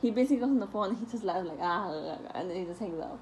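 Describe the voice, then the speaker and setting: A woman's voice: mid-range voice, racing, crowd noise. A young woman chatting casually into her phone while traveling, laughing often and mixing in some Spanish. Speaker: vlogger. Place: hotel room and car. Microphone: phone held at arm's length. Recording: phone video with clear background noise.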